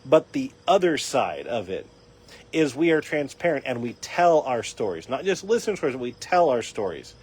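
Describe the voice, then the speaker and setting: A man hosting a live faith and recovery talk, his voice a little raspy, explaining in an earnest teaching tone with frequent restarts. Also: medium pitch, very animated, normal speed, poor audio. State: raspy voice from dryness. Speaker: host. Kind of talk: casual talk on a live stream.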